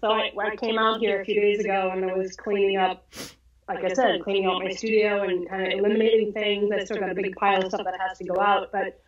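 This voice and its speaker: A middle-aged woman guest, a quilter, chatting casually on a video call from her home studio, a little stuffy and congested, lively and full of quick asides. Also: mid-range voice. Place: sunny home studio, indoors. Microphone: wireless earbuds on a video call. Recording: dull lo-fi video call audio.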